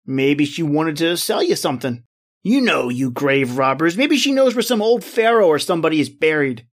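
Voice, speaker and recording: An actor playing a breezy, curious pal in an old-time radio drama, folksy, slangy and plain-spoken. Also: male voice, a little high, very animated, normal speed. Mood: teasing, sly. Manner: folksy, colloquial, inquisitive.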